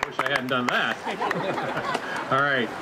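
Man, middle-aged, show announcer, folksy jokes, handheld PA mic, outdoors by a lake, loud crowd. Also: mid-range voice, fairly even intonation, a little slow. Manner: jokey, folksy banter. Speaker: event announcer. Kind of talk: live announcing and on-stage interview. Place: outdoor lakeside beach stage. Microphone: handheld microphone over a PA. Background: crowd and water noise.

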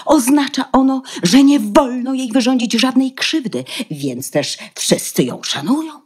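A woman playing a proud, protective mother duck in a fairy-tale radio play, bossy and caring as she instructs, with a husky, breathy voice. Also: high-pitched, animated, darkish tone, heavily acted. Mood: instructing, bossy. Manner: instructing, measured, emphatic.

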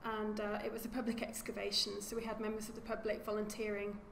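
A woman archaeologist giving an academic lecture at a lectern, speaking in a measured way and often repeating words as she corrects herself. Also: medium pitch, monotone, gravelly. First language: English. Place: lecture room. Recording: echoey lecture hall with faint hum.

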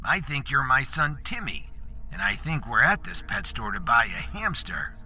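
Man playing a pushy improv guy with a lively, creepy edge. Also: mid-range voice, moderate pace, faint music behind, voice pushed up, exaggerated voice. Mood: smug. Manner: smug, then creepy and menacing. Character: pushy male improv actor turning menacing Krampus.

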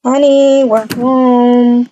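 Soft, high-voiced sweet young mom, acted by a woman. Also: little pitch movement, very slow, muffled recording, slight background noise. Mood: sweet, casual. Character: sweet doting young wife and mother. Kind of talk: amateur voiced game roleplay.

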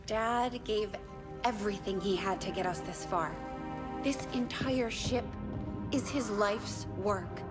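An actress playing a young female chief engineer in a video game, earnest and proud, quieter when she talks of her late father. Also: medium pitch, takes it slowly, close to an everyday voice. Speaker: game character. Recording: game audio with music underneath.

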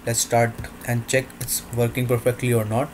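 A man narrating a software demo in halting, non-native English phrasing. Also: medium pitch, level tone, slight background noise.

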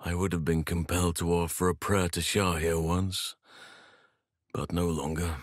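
Low-voiced, solemn male druid played by an actor. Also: little pitch movement, no echo, clean studio sound, lightly acted. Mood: grave. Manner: measured, earnest, formal phrasing. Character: gentle, solemn male druid healer devoted to nature. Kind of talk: fantasy video game voice acting.

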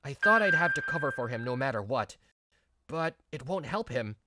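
An actor playing a mild, earnest young male office worker in a manga story dub, speaking in a sincere, measured way. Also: medium pitch, sing-song, very noisy, close to an everyday voice. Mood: apologetic. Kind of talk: scripted manga story dub, narration and dialogue.

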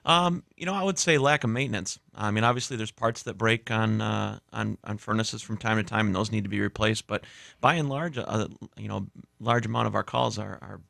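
Male studio guest in his thirties, matter-of-fact explainer; fast, gravelly, dry close mic. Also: fairly low pitch, pushed and bright, dry recording. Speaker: guest heating and cooling contractor. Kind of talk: radio interview answers. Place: small radio studio. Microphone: studio mic with headphones.

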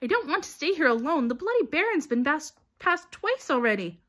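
A woman's voice: sits high, very animated, fairly quick, fairly bright tone, pitched above the performer's own voice. A woman voicing a timid, anxious, clingy young schoolboy in an audiobook, pleading and worried.

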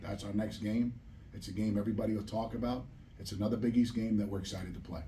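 A man's voice: fairly low pitch, steady tone, quick pace, dark tone. A middle-aged man, a basketball head coach, answering questions bluntly and emphatically at a postgame press conference, repeating phrases for stress. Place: press room at a table. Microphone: table microphone, recorded from a distant camera. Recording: distant, slightly noisy press room.

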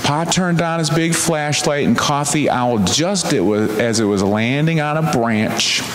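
A man reading a storybook aloud as a minister, with deliberate, expressive, drawn-out storytelling. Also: a little high. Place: large church sanctuary before an audience. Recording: echoey church hall, clear background noise.

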